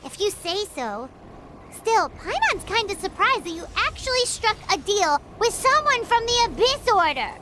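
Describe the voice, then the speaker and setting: Casting: an excitable tiny companion sprite, squeaky, third-person talker. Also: female voice, moderate pace, heavy background noise. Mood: surprised. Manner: refers to herself in third person, exclamatory. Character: small floating female companion, chatty and excitable.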